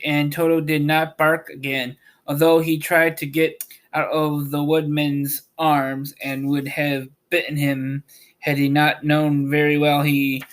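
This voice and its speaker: A man narrating a storybook aloud as host, reading in a halting way with stumbles. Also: a little high.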